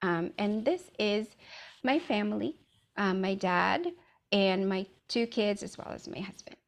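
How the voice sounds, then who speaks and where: A woman's voice: medium pitch, unhurried, gravelly. Woman from the Philippines giving a presentation. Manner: casual, many "um" fillers. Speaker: wellness speaker. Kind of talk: presenting a talk with slides.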